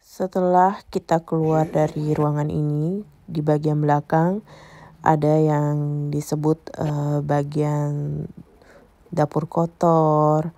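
Low-pitched, creaky-voiced woman vlogger. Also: little pitch movement, slow pace, warm tone, no room sound. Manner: explanatory, addressing viewers directly. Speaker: travel vlogger. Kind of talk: casual vlog tour narration.

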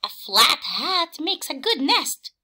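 A woman voicing a perky, bossy female hen in a children's storybook, drawn-out and emphatic. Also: sits high, very animated, sharp tone, voice pushed up, exaggerated voice.

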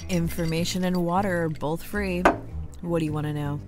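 A woman's voice: on the low side, fairly even intonation, normal speed, natural voice. A woman playing a matter-of-fact waterfront bartender in a noir radio drama. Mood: dry, businesslike. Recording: loud music and clinking dishes behind.

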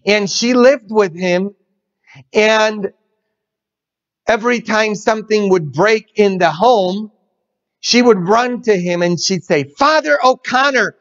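A man in his thirties preaching a sermon in a church, an animated storyteller with many pauses. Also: sits high. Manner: animated storyteller, gestures a lot. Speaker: priest. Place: church interior, large. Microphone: webcam in front. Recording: muffled with church reverb.

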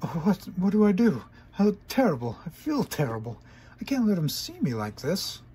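A man's voice: mid-range voice, big pitch swings, moderate pace, a little mellow, husky. A man voicing a proud, despairing young female pony magician who speaks of herself in the third person. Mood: distraught.

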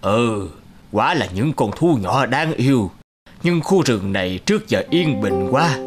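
A man voicing a stately, measured old emperor of heaven in a cartoon. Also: mid-range voice, expressive, natural voice. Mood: musing. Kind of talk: cartoon fairy-tale dialogue. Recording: music behind the voice.

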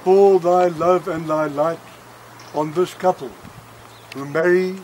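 An older man officiating an outdoor wedding and praying over the couple in a solemn, measured way. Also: a little high, fairly even intonation, darkish tone. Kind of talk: wedding ceremony and prayer. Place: outdoor wedding under trees. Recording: faint outdoor noise.

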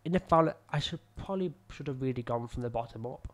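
Young man, croaky from illness, rambling self-critical commentary, close desk mic, small room. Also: mid-range voice, fast. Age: young adult. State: ill, croaky voice. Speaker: filmmaker reviewing his own work. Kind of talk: casual commentary over footage. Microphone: studio mic on a boom arm close to mouth.

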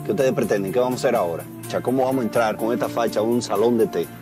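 Comedy film: reluctant Dominican detective, male actor, rapid grumbler, mid pitch, music behind. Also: natural voice. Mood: exasperated. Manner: complaining.

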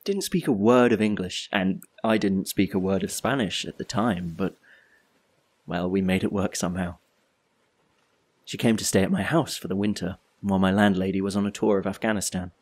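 Low, husky wistful loner. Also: male voice, moderate pace, a little mellow. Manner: reflective, wry. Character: wistful, solitary male drifter telling his story. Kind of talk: dramatic monologue in an audio play.

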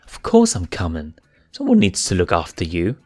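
A man's voice: low-pitched, huge pitch swings, normal speed, darkish tone, husky, heavily acted. A man voicing a spunky young lioness cub who teases and jokes dryly, in a fanfic reading. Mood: wry. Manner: teasing, dry.

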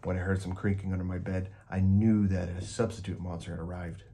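A man with a very low, gravelly voice reads a children's story aloud. His tone is dark and mellow, and his delivery is expressive and deliberate. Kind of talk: picture book read aloud.

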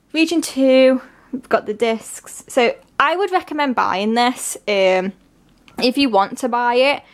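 A woman from England with a stuffy, congested voice from a cold, chatting excitedly through an unboxing with lots of fillers. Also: mid-range voice. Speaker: vlogger. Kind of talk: casual unboxing narration. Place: at home, at a table.

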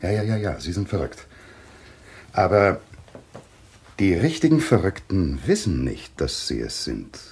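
An actor playing a patient, reasonable doctor who takes charge, soothing but firm, in a German thriller radio play. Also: male voice, sits low, sing-song, a little slow, long pauses, a little mellow. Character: level-headed, patient male doctor taking charge.

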